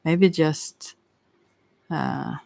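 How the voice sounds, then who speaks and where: A psychic tarot reader speaking in a gentle, consoling ASMR style, rambling and often correcting themselves while giving unscripted advice. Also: medium pitch, huge pitch swings, drawn-out words, vocal fry.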